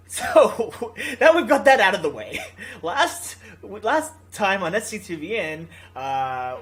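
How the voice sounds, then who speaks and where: A gaming video host who reads a visual novel aloud with casual, goofy, excitable asides. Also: medium pitch, a little hiss or hum. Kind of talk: casual playthrough commentary and read-aloud.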